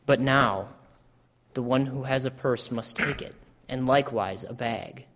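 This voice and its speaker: A man's voice: medium pitch, unhurried, fairly bright tone. A man playing a solemn, grave Jesus in a dramatic reading of scripture. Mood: solemn, instructing. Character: solemn Jesus, grave teacher facing arrest. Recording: echoey church hall, long reverb.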